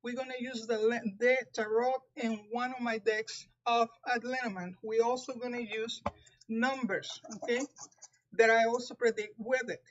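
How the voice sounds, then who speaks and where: A woman's voice: on the high side, steady tone, normal speed, highs cut off. A woman with a Puerto Rican accent, a native Spanish speaker, giving a live tarot reading, talking carefully with frequent restarts. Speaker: tarot reader.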